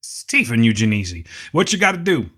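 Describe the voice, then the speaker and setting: A man with a scratchy, slightly hoarse voice, co-hosting a podcast in casual chat, teasing and explaining with mock authority. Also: mid-range voice, very animated, normal speed, fairly bright tone. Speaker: podcast co-host.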